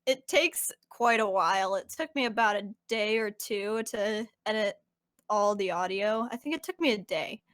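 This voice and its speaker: A woman with a medium-pitched voice talks casually as a voice actor and editor. The sound is dry, with no room on it. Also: normal speed, a bit bright, dry recording. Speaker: fan dub voice actor and editor. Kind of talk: casual chat.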